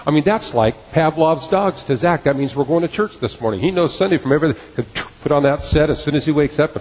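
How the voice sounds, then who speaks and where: A middle-aged man delivers a sermon from the pulpit, earnest and fond of repeating his key lines. His voice is a little husky. The sound carries the long reverb of a church sanctuary. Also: on the high side, lively intonation, brisk, darkish tone. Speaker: pastor.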